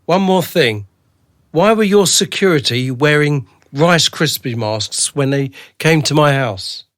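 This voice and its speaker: A man's voice: fairly high pitch, expressive, a little slow, a bit bright, no room sound, studio quality. A man playing a wary, reasonable everyman in a radio drama, understated and questioning. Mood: knowing. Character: wary, reasonable ordinary man, a released captive.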